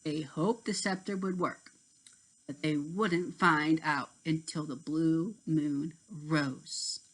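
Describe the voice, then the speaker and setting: A woman narrating a scripted children's story deliberately, with dramatic pauses. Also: sits low, steady tone, rough recording. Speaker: storybook reader.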